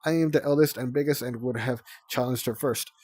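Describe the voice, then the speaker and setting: Male reader doing a bossy young female dragon, husky and dry. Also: medium pitch, fairly quick, darkish tone, no echo, close to an everyday voice. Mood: dramatic.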